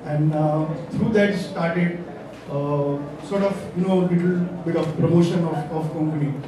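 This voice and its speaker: A man speaking on a discussion panel, thoughtful and halting with many fillers. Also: on the high side, steady tone. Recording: echoey distant hall recording with noise.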